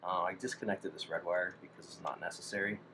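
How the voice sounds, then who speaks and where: Low-voiced man musing aloud, noisy recording. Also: steady tone, fast. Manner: tentative, musing aloud in fragments. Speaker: hobbyist demonstrating a radio-to-amp conversion. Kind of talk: casual thinking-aloud workbench narration. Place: indoor workbench. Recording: clearly noisy room recording.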